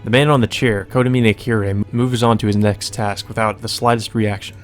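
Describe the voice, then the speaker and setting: A man's voice: fairly low pitch, steady tone, brisk, faint music behind. A man doing a casual let's play, reading story text aloud and adding informal, sweary asides. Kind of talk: casual commentary and read-aloud narration.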